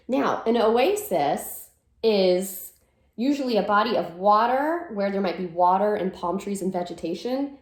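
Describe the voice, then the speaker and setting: A woman's voice: mid-range voice, a little mellow, roomy sound. A woman teacher reading a picture book aloud to children, with sing-song verses and simple explanations.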